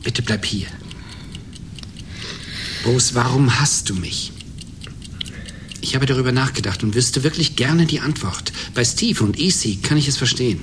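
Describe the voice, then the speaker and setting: An actor playing a tired, resigned middle-aged man in a German radio drama, speaking in a subdued, measured way. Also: mid-range voice, lightly acted. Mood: subdued, earnest. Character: weary, resigned middle-aged chess player, quietly defensive. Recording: noisy 1980s radio drama recording.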